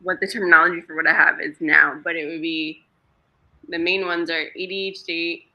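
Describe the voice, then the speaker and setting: A woman in her thirties, a Greek native speaker, talking openly as a podcast guest, with halting restarts. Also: lowish voice, little pitch movement, lo-fi sound. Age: 30s. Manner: open, laughs easily, halting restarts. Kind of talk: casual podcast chat.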